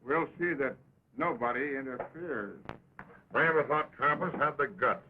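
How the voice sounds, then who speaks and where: A man's voice: medium pitch, natural voice. Man playing folksy cowboy pal, noisy old film. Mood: loyal, sober. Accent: American. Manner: folksy, drawn-out cowboy talk. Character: loyal, easygoing cowboy pal with folksy humor. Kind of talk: early 1929 western talkie film acting. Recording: noisy, tinny 1920s film soundtrack.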